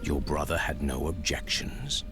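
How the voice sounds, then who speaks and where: A man's voice: a bass voice, steady tone, unhurried. A man voicing a grim, blunt warrior hero in a fantasy video game, speaking in a solemn, terse, formal way. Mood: stern. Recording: loud music behind the voice.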